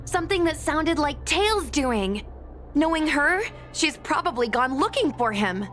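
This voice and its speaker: A woman playing an earnest, outspoken young woman in a video game, animated and direct. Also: sits high, a little slow, faint music behind, exaggerated voice. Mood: thoughtful.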